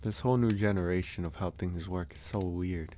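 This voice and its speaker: A man playing a calm, candid, easygoing werewolf in an intimate ASMR audio roleplay, musing unhurriedly with sighs and pauses. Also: low voice, a little hiss or hum, close to an everyday voice. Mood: musing, storytelling.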